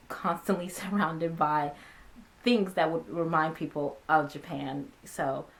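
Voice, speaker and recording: A woman in her twenties, born in Ghana and raised in America, answering viewer questions in a casual, chatty talking video with many ums and uhs. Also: on the low side. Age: 20s. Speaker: vlogger.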